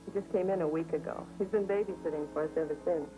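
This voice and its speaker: A woman's voice: low voice, fairly even intonation, quick pace, music in the background, close to an everyday voice, rough recording. An actress playing a worried, weary American wife and mother in a 1950s TV drama. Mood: subdued, polite.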